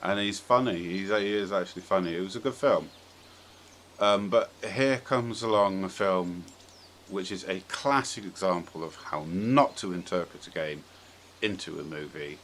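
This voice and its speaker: A man's voice: low voice, moderate pace, a bit bright, a little hiss or hum. A British man bantering casually on a podcast in a wry, jokey, informal way. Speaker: podcast speaker.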